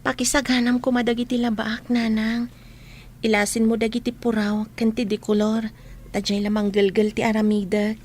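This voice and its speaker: An actress playing a dutiful, cheerful young daughter in an Ilocano radio drama. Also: female voice, on the high side, flat delivery, moderate pace, darkish tone, natural voice.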